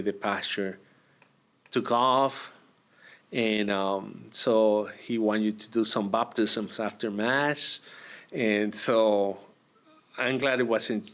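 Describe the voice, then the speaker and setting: A man from Argentina, a priest, telling his story in an interview, with many pauses and fillers. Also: lowish voice, fairly bright tone. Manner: hesitant, many fillers and restarts. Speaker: priest, guest.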